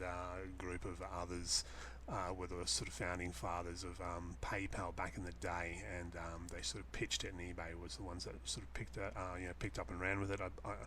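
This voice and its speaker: A man with an Australian accent giving a casual, unscripted explanation to a webcam in a halting, rambling way, repeating phrases for emphasis. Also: low-pitched, fairly bright tone, slight background noise. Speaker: host answering a viewer's question, online seller. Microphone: webcam setup.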